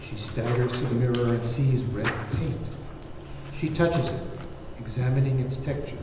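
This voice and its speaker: A very roomy, reverberant recording of a man narrating a screenplay's stage directions. His voice is mid-pitched and sits away from the mic, with faint noise. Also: normal speed. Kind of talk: scripted narration at a table read. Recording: very echoey, distant, faint noise.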